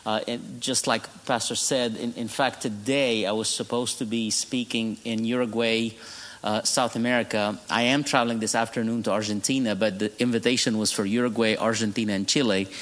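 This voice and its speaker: Egyptian-accented preacher in a reverberant hall. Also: male voice, lowish voice, little pitch movement, fairly quick, fairly bright tone. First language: Arabic. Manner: humorous storytelling, laughing at his own jokes. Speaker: guest preacher. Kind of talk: sermon testimony. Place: church.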